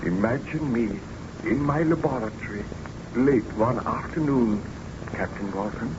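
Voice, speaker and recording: An actor playing an obsessed, weary scientist in a theatrical, deliberate old-time radio drama style, on a muffled, noisy recording. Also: male voice, mid-range voice, lightly acted. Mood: brooding, intense. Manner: theatrical, deliberate, brooding narration.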